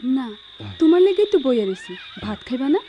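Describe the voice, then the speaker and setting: A devoted, fretful younger sister in a dull, lo-fi radio drama recording. Her voice is high and mellow. She scolds plaintively in a rural Bangladeshi dialect. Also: big pitch swings, brisk, a little hiss or hum.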